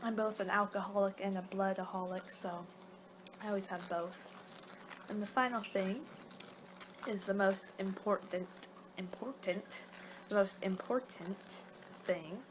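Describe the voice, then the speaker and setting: Female ASMR performer as a laid-back vampire guide: mid-pitched, soft to whispery, rambling delivery, noticeably noisy recording. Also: steady tone. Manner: rambling, halting, losing her train of thought. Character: young-for-her-kind female vampire guiding a client, casual, a little exasperated. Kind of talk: soft-spoken ASMR roleplay, partly whispered.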